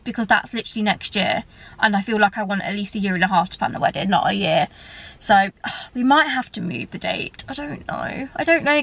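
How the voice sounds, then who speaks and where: A woman's voice: mid-range voice, fairly bright tone. A woman, chatting candidly to her viewers from a parked car. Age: 30s. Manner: chatty and candid, gesturing with both hands. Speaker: vlogger. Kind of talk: casual chat to camera.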